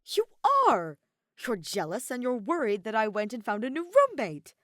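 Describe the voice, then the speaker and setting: A woman acts out an indignant young man from an audio story, dramatic and easily exasperated. The voice is loud and incredulous, leaping about in pitch and close to spluttering. The sound is dry and close. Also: sing-song, normal speed, no room sound. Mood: teasing, triumphant.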